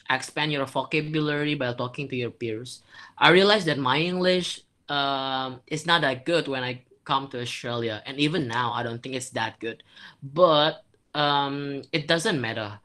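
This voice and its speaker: A young man with an Indonesian accent, speaking haltingly with many fillers and restarts as he shares tips in an informal virtual talk. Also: on the high side, pushed and bright. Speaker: former exchange student speaker.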